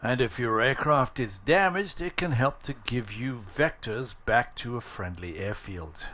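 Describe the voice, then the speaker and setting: A man hosting a flight sim tutorial, speaking in measured explanations and formulaic pilot radio calls. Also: medium pitch, animated. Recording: loud engine noise behind.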